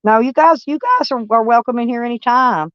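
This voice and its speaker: A woman's voice: fairly high pitch, a little fast, dry recording, highs cut off. A woman with a Texas accent, originally from Kentucky, hosting a live stream and chatting with viewers in a rambling, folksy, blunt way, with some swearing. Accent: Texan. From Kentucky, USA. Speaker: livestream host.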